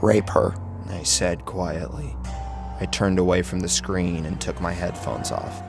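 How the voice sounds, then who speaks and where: A male narrator reads a first-person horror tale with theatrical intensity. His voice is deep and gravelly and moves through a lively range. Music plays clearly behind him. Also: unhurried.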